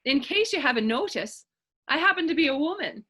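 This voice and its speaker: Actress as a bold, teasing woman, lively pitch. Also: fairly quick, full character voice. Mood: flirtatious teasing. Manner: playful, lilting old-fashioned dialect speech. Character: bold, teasing grown Irish woman of Dublin. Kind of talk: readers theater stage acting.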